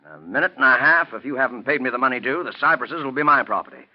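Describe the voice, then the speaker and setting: A cold, grasping businessman villain, played by a male actor, curt and arrogant. His mid-pitched voice carries menace, in a forties radio melodrama on a dull old recording. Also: rapid-fire, natural voice. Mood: menacing. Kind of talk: 1940s radio acting.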